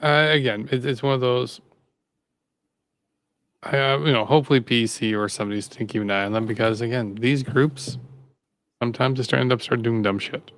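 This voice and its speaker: A man's voice: mid-range voice, fairly quick, long pauses, a bit bright, dry recording. A man hosting a casual podcast, laid-back and rambling as he introduces stories. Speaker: host.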